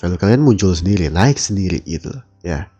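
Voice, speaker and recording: A man's voice: sits low, expressive, quick pace, dark tone, slightly rough, faint music behind. A young man from Depok, hosting a solo late-night radio talk show while down with the flu, speaking casually and sometimes groping for words. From Depok, West Java. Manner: casual, searching for words, heartfelt. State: has the flu. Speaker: radio host.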